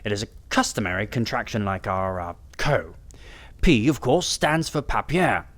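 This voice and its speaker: A man's voice: lowish voice, big pitch swings, normal speed, a bit bright. A man playing a brilliant, self-assured Victorian detective in an audiobook, lecturing in a precise, theatrical way. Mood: didactic. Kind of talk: audiobook character acting.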